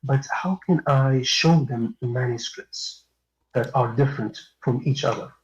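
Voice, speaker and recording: A man's voice: medium pitch, measured pace, highs cut off. A man, a native Arabic speaker, calling in to a live stream and talking hesitantly with many restarts. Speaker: caller.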